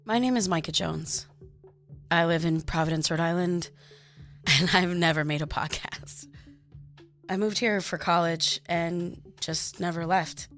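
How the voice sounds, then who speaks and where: A woman's voice: on the low side, a little slow, a bit bright, soft background music. A woman playing an exhausted, uneasy woman in her thirties narrating a confessional fiction podcast. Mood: wry, uneasy. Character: exhausted, uneasy young woman recording her dream diary.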